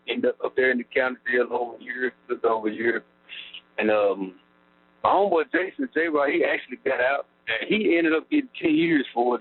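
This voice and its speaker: A man's voice: medium pitch, a little hiss or hum. Man on a tinny phone line. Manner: rambling, lots of fillers, laughs wryly at times. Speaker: incarcerated interviewee. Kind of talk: casual storytelling about his past. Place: prison phone call.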